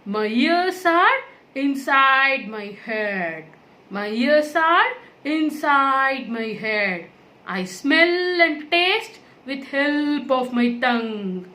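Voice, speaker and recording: A woman voicing a friendly snake puppet in a children's educational show, deliberately repeating each fact for young listeners. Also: high voice, expressive, sharp tone, full character voice. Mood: friendly, instructive.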